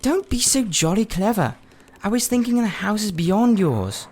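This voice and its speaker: Man voicing an eager, excitable adventurous schoolboy. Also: sits high, normal speed, voice pushed up. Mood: eager, insistent.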